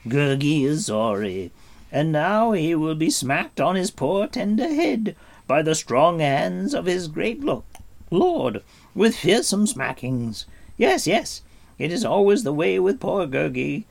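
A man's voice: on the high side, sing-song, slow, gravelly, voice pushed up, exaggerated voice. A man voicing a groveling, greedy, hairy forest creature who wails and pleads, in a storybook reading. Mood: wailing. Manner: wailing, pleading, talks of himself by name.

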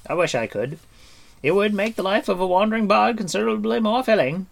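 A man's voice: sits high, animated, a little fast, creaky, voice pushed up, heavily acted. A man doing a blustering boastful bard. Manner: hearty, exclamatory.

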